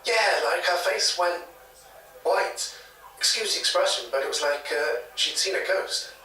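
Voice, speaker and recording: Hesitant British local man, chatty and hedging, slightly high bright voice, audio drama interview. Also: a little slow, recorded from a distance. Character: hesitant, gossipy local man retelling a secondhand ghost story. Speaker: interviewee, former hotel worker. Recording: echoey taped interview, off mic.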